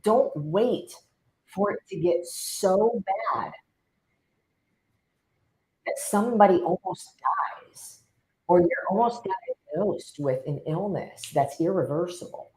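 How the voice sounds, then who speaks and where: A woman's voice: lowish voice, takes it slowly, mellow, low-quality recording. A woman co-hosting a motivational livestream as a wellness coach. She speaks directly and urgently to the viewers.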